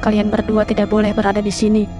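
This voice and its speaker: A woman's voice: mid-range voice, completely flat delivery, fast talker, over music, pitched below the performer's own voice, lightly acted. A woman voicing a hostile, greedy young stepmother in a horror cartoon, giving curt, dismissive orders.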